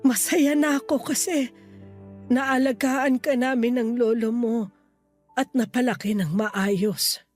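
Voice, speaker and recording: A woman playing a frail, ailing grandmother in a radio drama, speaking gently and wearily with sorrow. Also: fairly high pitch, fairly even intonation, slow pace. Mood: gentle, pleading. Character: frail, ailing grandmother near seventy, tender and resigned. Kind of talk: Tagalog radio drama acting. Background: background music.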